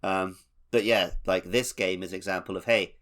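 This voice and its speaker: A man co-hosting a podcast, talking casually and emphatically in animated conversation. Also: sits low, normal speed. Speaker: podcast co-host. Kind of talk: casual video game podcast discussion.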